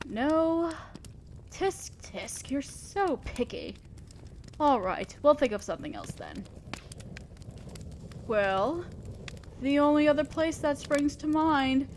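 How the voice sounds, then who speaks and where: A woman's voice: high-pitched, full character voice. A refined female demon lord, voiced by a woman, playful and theatrical in an intimate audio roleplay. Her delivery is leisurely and swoops widely as she indulgently teases her mortal servant. There is noticeable noise behind the voice. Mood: teasing. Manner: playful, genteel, theatrical, drawn-out musing. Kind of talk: scripted audio roleplay, addressing the listener.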